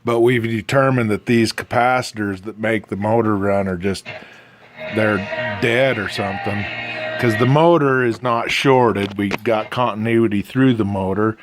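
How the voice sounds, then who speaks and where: A man's voice: on the low side, measured pace. A middle-aged man giving a casual, plain-spoken hands-on narration of a repair, thinking aloud informally. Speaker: amateur radio restorer demonstrating a repair. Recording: music playing from a radio behind. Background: music from a vintage radio being tested.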